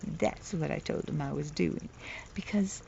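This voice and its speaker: A middle-aged woman with a soft voice, telling a playful, chatty vlog story outdoors and laughing at her own jokes. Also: low voice, unhurried, vocal fry, slight background noise. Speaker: vlogger. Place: outdoors, near the water. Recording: dull lo-fi outdoor recording.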